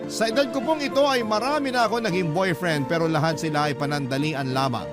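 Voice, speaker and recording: A man's voice: on the high side, quick pace. A man with a Filipino accent narrating a scripted first-person story as a radio storyteller reading a letter. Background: loud music bed.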